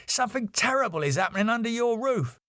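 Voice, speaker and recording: A man's voice: very high-pitched, animated, fast talker, a bit bright, dry recording, studio quality, voice pushed up. A man voicing a frantic, exasperated schemer pleading urgently in a sitcom script reading. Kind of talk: sitcom script read aloud.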